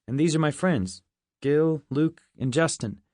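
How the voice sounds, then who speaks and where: A man's voice: a little high, expressive, a little slow, a little mellow, dry recording, pitched above the performer's own voice. Guy doing a sarcastic boy hero, blunt, wisecracks, comic story, dry sound. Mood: friendly.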